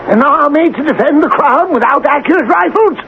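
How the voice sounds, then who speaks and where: Shrill, petulant, pompous king. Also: expressive, a little fast, gravelly, heavily acted. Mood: exasperated. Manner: pompous, sarcastic, impatient. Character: petulant, imperious, exasperated comic king of tiny kingdom. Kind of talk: 1970s radio drama acting. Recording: muffled, noisy 1970s radio broadcast recording.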